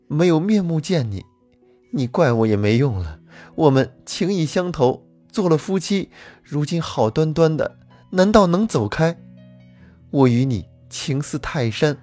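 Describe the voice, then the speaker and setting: A man putting on a soft-voiced snake-spirit wife. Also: a little high, voice pushed up. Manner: pleading, sweetly persuasive. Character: gentle, coaxing young snake-spirit wife. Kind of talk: traditional storytelling.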